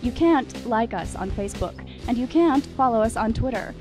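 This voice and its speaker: A woman's voice: fairly high pitch, lively intonation, normal speed, over music. A woman announcer reading a scripted promo.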